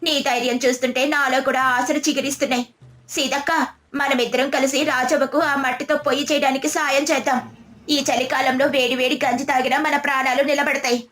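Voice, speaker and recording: Woman playing humbled village woman, high voice. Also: little pitch movement, fairly quick, fairly bright tone. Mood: apologetic, hopeful. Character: Gauramma, anxious but humbled, grateful village woman. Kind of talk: animated moral story dialogue.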